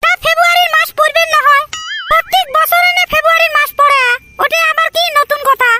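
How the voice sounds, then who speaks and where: A man voicing a nagging, soft-hearted village mother in a comedy cartoon, with a squeaky voice and a northern Bengal accent. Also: fairly even intonation, fast, loud music behind the voice. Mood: scolding. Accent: northern Bengal (Cooch Behar region). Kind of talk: comedy cartoon voice acting in rural dialect.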